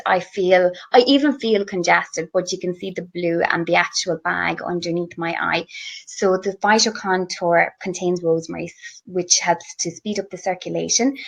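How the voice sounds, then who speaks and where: A woman's voice: on the low side, creaky, a bit bright. An Irish woman chatting enthusiastically about her favourite skincare products, with lots of fillers and restarts. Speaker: guest beauty blogger. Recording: slightly muffled lo-fi audio.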